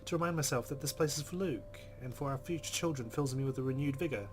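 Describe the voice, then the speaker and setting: A man playing a game, reading its text aloud dramatically and reacting in a jumpy, expressive way. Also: medium pitch, fast, music in the background. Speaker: let's player. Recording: loud game sound behind voice. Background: game audio.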